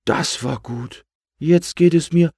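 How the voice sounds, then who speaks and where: A man's voice: fairly high pitch, slow, creaky, breathy edge, no echo, studio quality, voice pushed up, full character voice. A man voicing a cheerful, friendly and grateful balloon in a children's story. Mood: relieved. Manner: bright exclamations, friendly.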